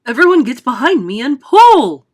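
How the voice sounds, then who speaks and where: A woman's voice: lowish voice, animated, moderate pace, slightly rough. A woman voicing a kindly but commanding old male grasshopper. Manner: barking orders, then gentle and hushed.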